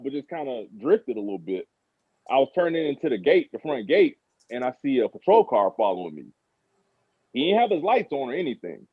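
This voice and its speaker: A man's voice: mid-range voice, slightly rough, dry recording. An American man in his thirties telling stories casually and informally as a podcast guest. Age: 30s. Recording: phone-like thin radio sound.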